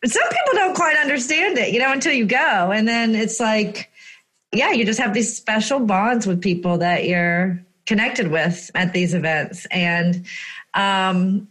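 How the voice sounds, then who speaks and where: A woman's voice: mid-range voice, animated, a little slow, a bit bright. A woman hosting an interview, speaking in a gushing, enthusiastic way and laughing easily. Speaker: host. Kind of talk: casual interview.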